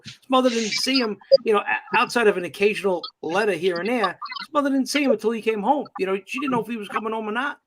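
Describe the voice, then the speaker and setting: A man on a podcast telling a family story in a quick, agreeable way. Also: sits high, background noise. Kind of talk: casual podcast chat.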